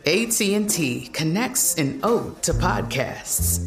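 A woman voicing a scripted ad in a slow, lilting, rhythmic delivery. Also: fairly low pitch, expressive, vocal fry. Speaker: ad narrator. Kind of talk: scripted ad voice-over over music. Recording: roomy ad read with loud guitar music.

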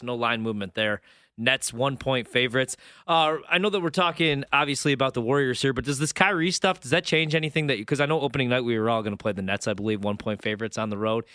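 A man's voice: mid-range voice, bright, forceful tone, dry recording, clean studio sound. A man hosting casual sports betting talk in an informal, slangy way. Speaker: sports betting show host.

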